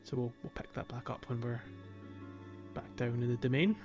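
A man's voice: medium pitch, normal speed, music in the background. A man co-hosting a gaming podcast, chatting casually and explaining things in an informal, hesitant way. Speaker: podcast co-host.

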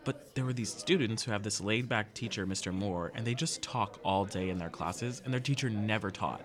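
A man acting as a playful, chatty teenage best friend, animated and teasing, in a fiction podcast. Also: lowish voice, moderate pace, natural voice. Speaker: voice actor.

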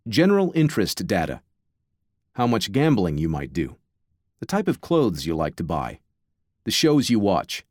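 An American man reading scripted nonfiction as an audiobook narrator, in a measured, clearly enunciated and slightly wry way. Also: lowish voice, darkish tone, no room sound, clean studio sound. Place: dry, close studio recording.

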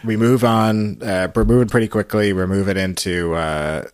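A man hosting a podcast, recapping a story in a casual, halting, explanatory way. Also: fairly low pitch, fairly even intonation, no room sound, clean studio sound. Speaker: podcast host.

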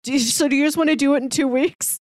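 A woman co-hosting a podcast, chatting casually in an expressive, very informal way. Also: high-pitched, fast, no room sound, studio quality. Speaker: podcast co-host.

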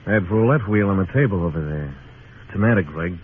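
A man's voice: sits low, animated, brisk, phone-quality sound, slight background noise. An actor playing a tough, world-weary frontier marshal in a radio drama, terse and wry, narrating his own story in hardboiled style. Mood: dry, wry. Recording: thin old radio recording with music.

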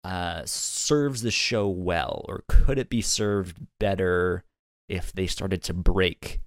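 A man hosting a podcast, chatting casually and haltingly, often repeating words as he works out his thought. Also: deep voice, dry recording, clean studio sound. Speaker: podcast host. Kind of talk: casual review discussion.

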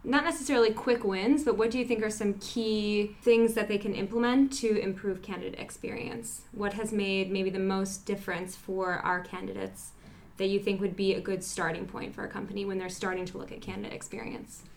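A woman hosting a podcast, reading a short intro and then asking interview questions in a friendly, steady way. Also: medium pitch, fairly quick, vocal fry. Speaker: podcast host.

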